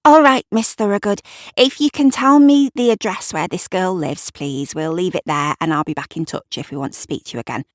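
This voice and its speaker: A brisk policewoman with steel in her, played by a woman narrator, questions a witness in an audio drama. She is direct and businesslike, lifting her voice as she presses, in a dry recording. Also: on the low side, no room sound, lightly acted. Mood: brisk, probing.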